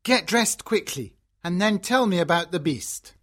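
Woman voicing a husky, emphatic storybook father. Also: low-pitched, animated, unhurried, a bit bright, voice pushed down, full character voice. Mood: happy, eager. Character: happy, caring older father of a fairy-tale heroine.

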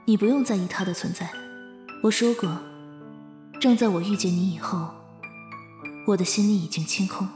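A woman acting a protective, mature lover in a modern radio drama, tender, measured and intimate. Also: mid-range voice, warm tone, close to an everyday voice. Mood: devoted. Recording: roomy echo, music behind.

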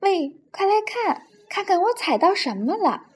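A woman voicing an excited little penguin who dreams of flying, eager and exclamatory, in a storybook reading. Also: sits high, takes it slowly, slight background noise, pitched above the performer's own voice, heavily acted.

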